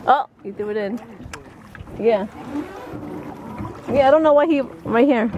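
A young woman vlogging from a rowboat on a lake, chatty and excitable, talking into a handheld camera. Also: fairly high pitch, unhurried, dull audio. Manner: chatty and excitable, often laughing. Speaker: family vlogger. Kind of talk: casual vlog chat. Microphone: handheld camera at arm's length. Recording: outdoor camera audio with noise.

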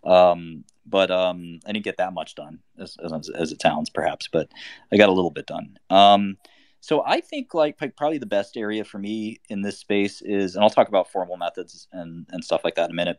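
A man's voice: sits low, brisk, no room sound, clean studio sound. A man from Albania hosting a live audio chat, talking loosely with many fillers and restarts. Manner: rambling, lots of fillers and restarts. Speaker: host.

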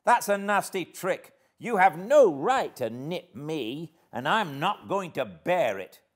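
A man's voice: lowish voice, slow, darkish tone, pitched above the performer's own voice. A man voicing an outspoken, exasperated servant woman, blunt and scolding, in an audiobook. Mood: indignant. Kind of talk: audiobook character acting.

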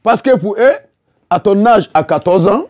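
A man's voice: sits high, expressive, moderate pace, a little mellow. A man chatting casually in a barbershop, emphatic and repetitive, explaining things by example. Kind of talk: casual chat. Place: busy barbershop, indoors. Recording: faint barbershop background noise.

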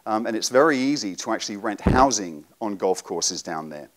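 A man in his forties giving a live seminar talk to an audience in a conference room, relaxed and explanatory with frequent ums. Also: on the low side, moderate pace, rough recording. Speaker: presenter.

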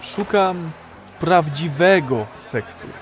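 An actor playing a nervous, naive first-time client in an underground, hesitant and earnest, in an audio drama. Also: male voice, a little high, animated, slow pace. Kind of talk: radio drama acting.

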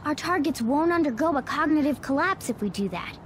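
A woman's voice: sits high, animated, a little fast, a little hiss or hum. A woman voicing a clever, analytical talking cat creature in an English video game dub. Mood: thoughtful.

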